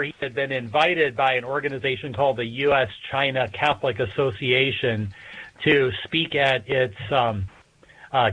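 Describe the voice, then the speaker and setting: A man speaking as an expert guest in an interview, explaining in a measured, hesitant way with pauses between phrases. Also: medium pitch, a bit bright. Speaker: guest expert, political science professor. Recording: dull lo-fi remote radio connection.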